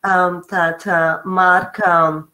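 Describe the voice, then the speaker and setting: A woman's voice: fairly low pitch, flat delivery, very slow, pushed and bright. A middle-aged woman from Spain with a Spanish accent, hosting an online webinar in a polite, hesitant way with many "um"s and "you know"s. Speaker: webinar host.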